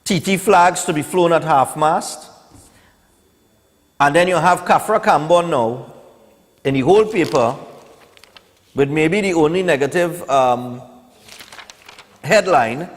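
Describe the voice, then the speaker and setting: A Trinidadian man in his forties with a slightly high-set voice talks like a morning TV host, casual and opinionated, tagging his points with small checks to the listener. His voice rings with long reverb, as if in a hall. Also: slow pace, long pauses. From Trinidad and Tobago. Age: 40s. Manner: conversational, opinionated, peppered with "eh" and "you know". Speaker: morning television show host. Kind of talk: casual live talk and newspaper review.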